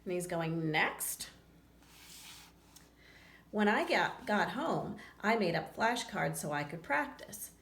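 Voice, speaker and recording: A woman reads a children's story with very lively, swooping intonation and chatty asides. Her voice has a gravelly creak at times. The room sounds echoey, with faint noise behind her. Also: fairly low pitch, slow pace, long pauses. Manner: expressive, acts out lines and chats to young listeners. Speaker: storyteller reading a picture book aloud to children. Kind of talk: read-aloud storytelling with casual asides. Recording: roomy echo, faint background noise.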